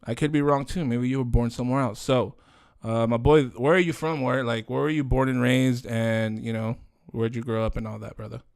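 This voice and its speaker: A man's voice: mid-range voice, fairly even intonation, a little fast, few pauses, a little mellow. Joking bilingual podcast host, a man. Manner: joking, rambling, mixes Spanish and English. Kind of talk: casual podcast interview.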